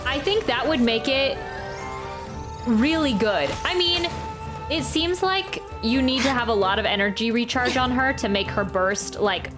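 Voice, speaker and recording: A woman with a fairly high voice streams a video game, chatting casually and thinking out loud. Her commentary is informal and unscripted, with game music playing behind her. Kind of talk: casual live gameplay commentary.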